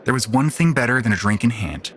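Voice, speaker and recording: A man's voice: mid-range voice, lively intonation, fast, background noise, close to an everyday voice. A man playing a cocky, jaded young racing pilot who narrates a scripted science fiction audio drama. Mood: wry, reflective. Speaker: narrator and lead actor. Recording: voice over prominent background music.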